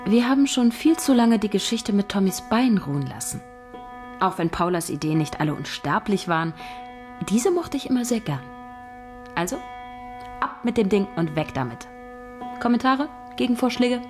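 An actress playing a scheming, bitter young writer in a radio drama, intense and sarcastic, part dialogue and part inner monologue. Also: female voice, mid-range voice, big pitch swings, normal speed, pauses often, over music, lightly acted. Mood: scheming, smug. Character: scheming, bitter young woman soap writer narrating her intrigues. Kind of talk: radio drama acting and first-person narration.

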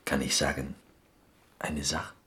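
An actor playing an eager, insistent Greek man in a radio drama, speaking halting, broken German with a Greek accent. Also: extremely low pitch, flat delivery, sharp tone, full character voice. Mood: hushed, insistent.

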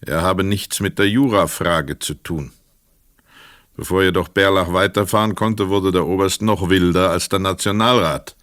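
An actor narrating a crime story in a radio play in a measured, precise manner. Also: male voice, low voice, steady tone, a bit bright, no room sound, studio quality.

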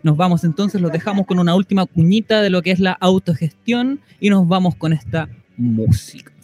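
Male radio co-host, Chilean accent, high-pitched, informal presenting over soft music. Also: animated, normal speed, no room sound.

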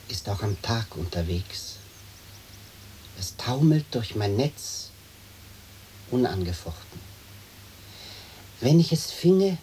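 An actor playing a wistful, dreamy gentleman butterfly collector in a radio play, speaking in a lyrical, musing way on an old recording. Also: mid-range voice, slight background noise. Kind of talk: poetic German stereo radio play acting.

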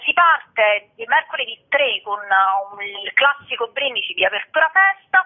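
Higher-pitched woman on a tinny phone line. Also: lively intonation, moderate pace. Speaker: festival organizer being interviewed. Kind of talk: informative radio interview, presenting a programme.

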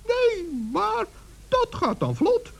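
A man's voice: extremely high pitch, wildly swooping pitch, slow pace, vocal fry, heavily acted. An actor playing a small, kindly, fretful male forest gnome in a children's radio play, earnest and plaintive.

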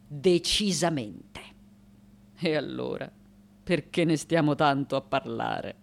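An actress playing a lucid, ironic elderly woman artist in a radio theatre monologue, speaking in a deliberate, reflective way. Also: low-pitched. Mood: wry, dismissive.

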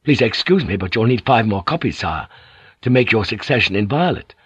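A man's voice: on the low side, animated, fairly quick, husky, dry recording. A man voicing a polite, precise secretary listing items carefully.

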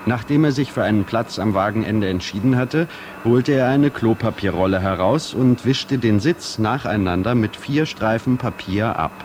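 An actor playing a detached, precise storyteller who narrates deadpan in a radio play. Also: male voice, on the low side, normal speed, a little hiss or hum, close to an everyday voice.

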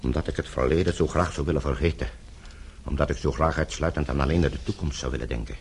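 An actor playing a weary, bitter older politician from behind the Iron Curtain in a radio play, brooding and confessional. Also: male voice, a bass voice, fast, slight background noise. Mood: weary, guilt-ridden. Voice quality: gravelly, husky. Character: weary, bitter older Eastern Bloc politician, guilt-ridden. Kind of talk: radio drama acting.

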